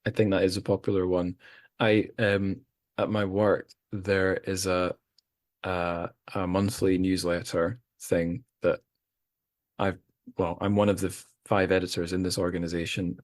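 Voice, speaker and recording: A man's voice: low voice, little pitch movement, measured pace, no room sound, studio quality. A man hosting a podcast, chatting casually in a rambling, self-deprecating way with many restarts. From Scotland. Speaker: podcast host. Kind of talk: casual chat.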